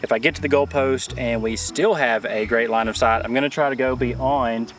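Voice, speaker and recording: A man's voice: mid-range voice, fairly even intonation, normal speed, fairly bright tone. A man giving a casual, conversational gear review to viewers, with touches of dry humour.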